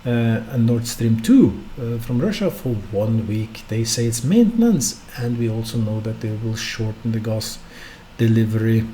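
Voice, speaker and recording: A man's voice: lowish voice, animated, a little slow, warm tone, slight background noise. A Norwegian man, a non-native English speaker, hosting a solo stock market podcast in an unscripted way with many hesitations and rhetorical questions. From Norway. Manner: unscripted, hesitant with frequent fillers; asks the listener rhetorical questions.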